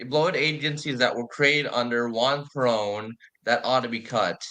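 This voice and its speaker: A man's voice: mid-range voice, steady tone, measured pace, bright, forceful tone. A man from California hosting a political stream, talking casually and restarting his sentences. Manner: conversational, restarts mid-sentence. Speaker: stream host. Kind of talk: political commentary chat.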